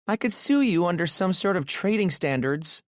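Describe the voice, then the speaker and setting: A man's voice: high voice, lively intonation, normal speed, no room sound, clean studio sound, pitched above the performer's own voice. A man voicing a shy, playful young woman who teases lightly but sounds a little nervous.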